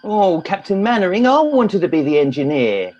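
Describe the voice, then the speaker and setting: A man's voice: sits high, very animated, normal speed, darkish tone, dull audio, soft background music. A man playing a naive, keen and whiny young recruit in a comedy radio play. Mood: eager, petulant. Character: eager, naive young boy recruit, a mummy's lad.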